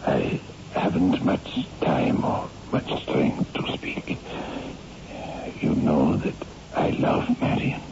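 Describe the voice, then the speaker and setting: An actor playing a dying, bitterly scheming rival in love, with a breathy, strained voice and halting delivery in a radio horror drama. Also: male voice, rumbling low, exaggerated voice. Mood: weak, confessing. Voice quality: breathy, strained, half-whispered gravelly voice. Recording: muffled, noisy old radio recording.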